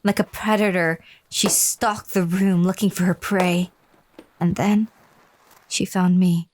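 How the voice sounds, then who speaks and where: A woman's voice: fairly low pitch, steady tone, slow, darkish tone. A woman playing a frail, fearful captive lover who narrates her torment in a horror audio drama. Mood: ominous, fearful.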